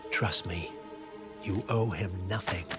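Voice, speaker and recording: A man playing a calm, manipulative male power broker in a game cutscene, quietly persuasive. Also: low-pitched, slow pace, over music.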